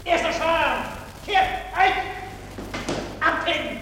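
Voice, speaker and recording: An actor in a German radio drama playing a military drill officer, shrieking out barked commands. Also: male voice, extremely high pitch, slow. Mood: barking. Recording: distant, noisy, heavily echoing hall.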